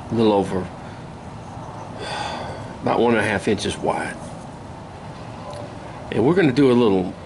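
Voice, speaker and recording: Hoarse older man teaching whittling. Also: fairly low pitch. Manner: easygoing, folksy, unhurried, with many pauses. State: hoarse from a cold. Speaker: woodcarving instructor. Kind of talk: casual step-by-step demonstration. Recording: steady outdoor ambient noise.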